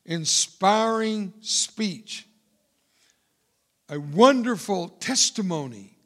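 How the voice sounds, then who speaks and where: A man's voice: sits high, lively intonation, extremely slow, fairly bright tone. An older man, a veteran, preaching to a church congregation with emphatic, repeated phrases. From United States. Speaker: guest speaker, veteran. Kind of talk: sermon.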